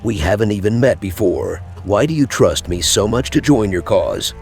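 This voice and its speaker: A man playing a cold, haughty, distrustful elven supremacist mage in a fantasy game roleplay, speaking deliberately. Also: fairly low pitch, animated, gravelly. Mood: wary. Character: cold, vengeful elven supremacist mage who hates the Empire. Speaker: roleplaying player character. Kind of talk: scripted fantasy roleplay narration and dialogue. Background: background music.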